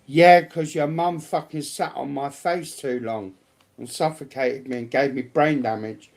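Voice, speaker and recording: Gravelly British voice, blunt and sweary. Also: medium pitch, steady tone, a little slow, darkish tone. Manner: blunt, combative, heavily sweary. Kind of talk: casual livestream banter.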